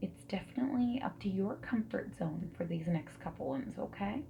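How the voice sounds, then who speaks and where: A woman speaking softly and breathily in an ASMR roleplay, often slipping into whispers as she asks gentle, reassuring questions like a friendly interviewer. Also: mid-range voice, normal speed, creaky. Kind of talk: soft-spoken ASMR roleplay. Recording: steady noise, keyboard typing and clicks. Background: mechanical keyboard typing and clicks.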